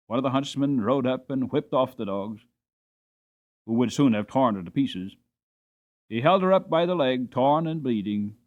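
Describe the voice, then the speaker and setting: Male audiobook narrator, highly varied intonation, mid pitch, dramatic and deliberate, on slightly muffled audio. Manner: expressive, deliberate phrasing. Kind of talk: dramatic scripted storybook narration.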